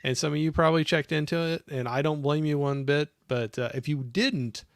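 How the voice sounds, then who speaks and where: A man with a gravelly, fry-laden voice hosts a live stream in a relaxed way, passing along viewers' comments. He sits close to the mic in a dry, echo-free sound. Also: a little high, fairly quick. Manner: laid-back, reads out viewer comments. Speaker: host.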